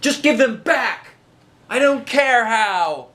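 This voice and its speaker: A man's voice: very high voice, expressive, slow pace, sharp tone, a little hiss or hum, pitched above the performer's own voice, exaggerated voice. A man voicing a brave, indignant young storybook girl with an emphatic, scolding manner. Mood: indignant shouting.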